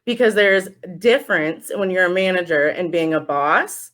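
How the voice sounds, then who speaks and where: A young adult woman speaking as an interview guest, telling stories casually and acting out quoted conversations in an animated way. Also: on the low side, moderate pace. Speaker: podcast guest, store general manager.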